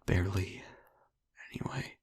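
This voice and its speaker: A man playing a traumatised young vampire boyfriend in an intimate audio roleplay, speaking in a breathy hush with halting pauses. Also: dry recording, clean studio sound, natural voice. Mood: subdued, haunted. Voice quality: breathy, whispery. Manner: halting, hushed, pausing mid-sentence.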